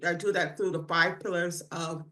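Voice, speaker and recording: A woman's voice: fairly low pitch, fairly even intonation, normal speed, bright, forceful tone. A woman from New England introducing herself on a call, speaking hesitantly with many fillers. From New England, USA.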